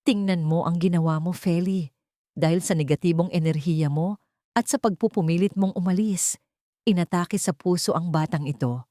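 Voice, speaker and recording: Casting: female narrator as domineering elderly matriarch for audio storybook drama, low register, berating and cold. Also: normal speed, warm tone, no echo, studio quality, close to an everyday voice. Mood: scolding. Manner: berating, guilt-tripping. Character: scolding, domineering old matriarch, cold and demanding.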